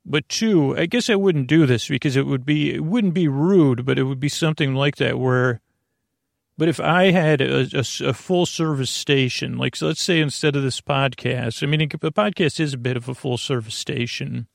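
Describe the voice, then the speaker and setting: Creaky-voiced man rambling sleepily. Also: mid-range voice, moderate pace, dry recording, clean studio sound. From United States. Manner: rambling, full of filler words and tangents. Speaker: podcast host. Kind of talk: meandering sleep podcast monologue.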